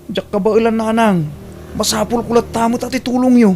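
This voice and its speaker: A man's voice: extremely high pitch, fairly even intonation, brisk, a little mellow, a little hiss or hum. A man playing a brash young suitor in an audio drama, eager and evasive, drawling and calling out. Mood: eager, pleading.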